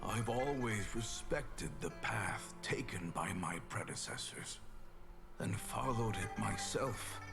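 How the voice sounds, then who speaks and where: Slow, low-voiced, solemn male healer. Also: lively intonation, gravelly, loud music behind the voice, exaggerated voice. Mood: sorrowful. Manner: measured, solemn, formal. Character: gentle, thoughtful male healer telling legends. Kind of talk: dramatic storytelling in a video game.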